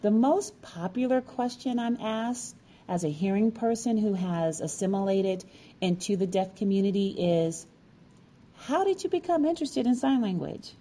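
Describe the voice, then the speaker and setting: A woman storyteller delivering a scripted personal narration with measured, formal phrasing. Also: mid-range voice, lively intonation, dark tone. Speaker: featured storyteller and sign language educator.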